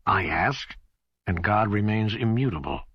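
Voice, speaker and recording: An earnest seeker full of doubt in a dramatized audiobook. His voice is very low and husky, recorded dry. He speaks in a searching, hesitant manner. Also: animated, unhurried, no echo, dull audio. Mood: skeptical, earnest. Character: earnest, doubting male seeker questioning God.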